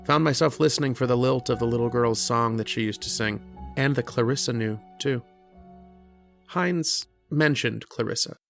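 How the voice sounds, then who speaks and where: An actor playing a lonely, erudite mathematician lodger in an old-time style radio drama, literate, earnest and introspective. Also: male voice, medium pitch, creaky, faint music behind, lightly acted. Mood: wistful. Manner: literate, deliberate, introspective, with hesitant starts. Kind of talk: old-time radio drama acting with first-person narration.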